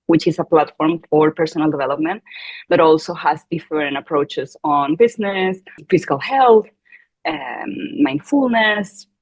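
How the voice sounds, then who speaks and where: Chilean woman guest, husky lowish voice, kinda muffled dull sound. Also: dry recording. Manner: animated, quoting her own thoughts with gasps. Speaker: podcast guest. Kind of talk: conversational interview.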